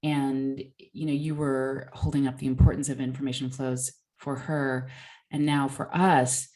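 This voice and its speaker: A woman with a very deep voice interviews a guest. She speaks warmly and appreciatively and uses a lot of "you know" as she frames her questions. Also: little pitch movement, unhurried. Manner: warm, appreciative, with many "you know" fillers.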